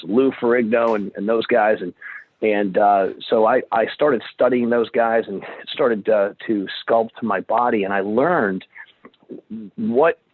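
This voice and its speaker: A man in his fifties, low-voiced, tells his story in a rambling way, restarting his sentences often. His voice comes over a tinny, phone-like line with a dry sound. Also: no room sound. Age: 50s. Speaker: guest. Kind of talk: storytelling interview.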